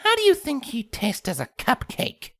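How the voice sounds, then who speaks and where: A man's voice: extremely high pitch, huge pitch swings, normal speed, a bit bright, no room sound, studio quality, pitched above the performer's own voice, exaggerated voice. A man voicing an eager, macabre female cartoon pony with a whining manner. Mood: sinister curiosity.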